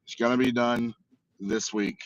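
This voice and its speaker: A man chatting casually as livestream co-host, laid-back with drawn-out fillers. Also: mid-range voice, steady tone, no room sound. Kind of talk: casual chat. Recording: dull lo-fi mic.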